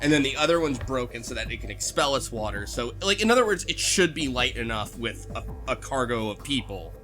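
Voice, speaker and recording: A man's voice: medium pitch, big pitch swings, brisk, pushed and bright, faint music behind. A man co-hosting a podcast, talking casually and thinking aloud in a tentative way. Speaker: podcast co-host. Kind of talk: casual discussion.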